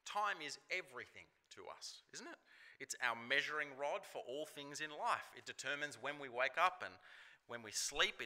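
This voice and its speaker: A man's voice: a little high, sharp tone. A man preaching a sermon in a chatty, conversational way, with casual fillers.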